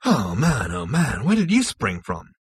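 A man's voice: mid-range voice, wildly swooping pitch, measured pace, breathy edge, dry recording, studio quality, heavily acted. A man voicing a hotheaded, sullen male space rogue, slangy and indignant, in a science fiction storybook. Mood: bewildered, resentful.

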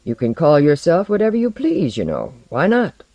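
Reader as a grumpy offhand mentor type, dry and matter of fact, short fuse, storybook dialogue, mellow tone. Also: mid-range voice, huge pitch swings, normal speed, no room sound, muffled recording, pitched above the performer's own voice. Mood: mild, offhand. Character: mild, offhand, sour and short-tempered mentor figure.

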